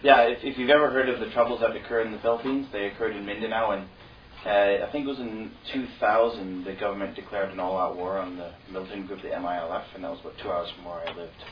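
A man talks easily to an audience, with a few fillers, in a fairly low voice. He sounds distant in an echoey room. The audio is dull, with clear background noise. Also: fairly even intonation, quick pace, few pauses. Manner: easygoing, plain-spoken, with fillers. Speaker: returned volunteer. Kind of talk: informal talk to an audience.

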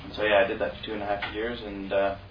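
A man talks easily to an audience, with a few fillers, in a fairly low voice. He sounds distant in an echoey room. The audio is dull, with clear background noise. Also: completely flat delivery, quick pace. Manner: easygoing, plain-spoken, with fillers. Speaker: returned volunteer. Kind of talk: informal talk to an audience.

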